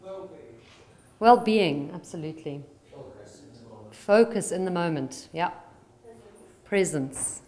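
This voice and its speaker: A South African psychologist speaking to a conference audience and calmly guiding them through mindfulness exercises. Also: female voice, fairly low pitch. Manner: calm and guiding, slowing for instructions. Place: large conference hall. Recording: roomy hall with faint ambience.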